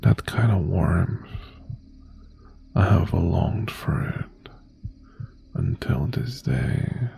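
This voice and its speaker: A man playing an obsessive, possessive mafia boss ex-boyfriend in an intimate ASMR roleplay, tender yet menacing, with a hushed, husky, breathy voice that often drops to a whisper. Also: drawn-out words, long pauses, faint music behind, full character voice. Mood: longing, pleading. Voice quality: breathy, husky, gravelly low voice.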